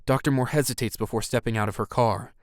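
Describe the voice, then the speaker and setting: A man's voice: medium pitch, steady tone, fast, dry recording, clean studio sound, natural voice. A man narrating an audio drama, reading scripted scene action in the present tense.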